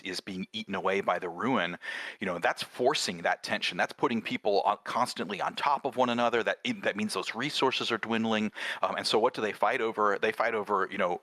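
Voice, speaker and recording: Bright-voiced man chatting in a dry space. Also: fairly low pitch, no echo. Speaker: game creator discussing his project. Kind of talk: casual discussion.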